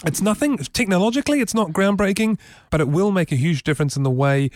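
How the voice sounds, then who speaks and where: A man's voice: high voice, expressive, fast talker, no echo. A man hosting a casual tech show from a studio, explaining features enthusiastically with many fillers. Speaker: podcast host. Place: radio studio.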